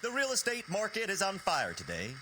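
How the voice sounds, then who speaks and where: A woman's voice: medium pitch, wildly swooping pitch, a little fast, background noise. A woman voicing an automated magical stockbroker in a video game, reciting chirpy, promotional customer-service patter. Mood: brisk, salesy. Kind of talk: scripted game voice acting.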